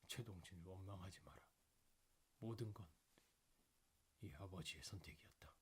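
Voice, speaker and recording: A man voicing a dying, remorseful father of eighty-seven in a slow, solemn audiobook reading. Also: sits low, a little hiss or hum, natural voice. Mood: remorseful, tender. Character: dying 87-year-old father, remorseful, tender, writing last letter.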